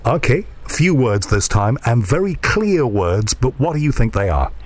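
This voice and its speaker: A man's voice: mid-range voice, big pitch swings, normal speed, darkish tone. Enthusiastic male radio host, curious. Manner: inquisitive, enthusiastic, thinks aloud. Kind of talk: curious interview chat.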